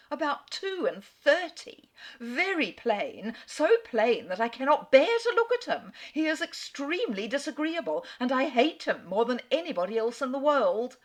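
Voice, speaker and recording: A woman's voice: a little high, voice pushed up. A woman with an Australian accent reading aloud as a giddy young woman who gushes theatrically about a marriage offer. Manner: breathless, gushing, theatrical.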